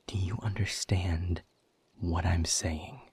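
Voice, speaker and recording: Man acting a husky, very low, weary demon. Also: fairly even intonation, a little mellow, no echo, heavily acted. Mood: grave warning. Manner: slow, grave, resigned, sardonic. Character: hopeless, weary male demon exile, bitter and sardonic. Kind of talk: intimate audio roleplay acting.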